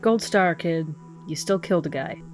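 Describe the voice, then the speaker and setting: An actress playing a blunt, sarcastic, foul-mouthed police detective in an audio drama. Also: female voice, low voice, normal speed, natural voice. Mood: stern, scornful. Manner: sardonic, blunt, confrontational. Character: hard-bitten, sarcastic, profane woman police detective. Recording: faint music behind the voice.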